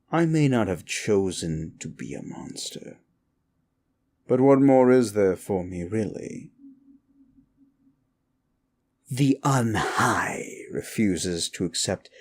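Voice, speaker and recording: Man voicing a very deep, gravelly, contemptuous demon. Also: darkish tone, exaggerated voice. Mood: mocking, bitter. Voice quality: low, gravelly. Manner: theatrical, brooding, slow and deliberate with dramatic pauses. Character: wicked, contemptuous immortal male demon, secretly conflicted. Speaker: audio roleplay performer. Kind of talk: ASMR roleplay monologue, addressed to the listener.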